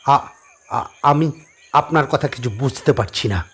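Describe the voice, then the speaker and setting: A man's voice: medium pitch, unhurried, a bit bright, husky, pitched above the performer's own voice. Man acting a stammering, frightened man. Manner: stammering, fearful. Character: frightened, bewildered man confronting an eerie holy man. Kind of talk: horror audio drama acting.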